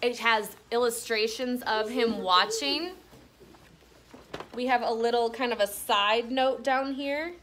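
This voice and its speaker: Lady teacher doing a classroom read aloud, bright voice kinda high, explains and asks questions, some room noise behind. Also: takes it slowly. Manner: expressive, instructional, checks in with questions. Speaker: elementary school teacher.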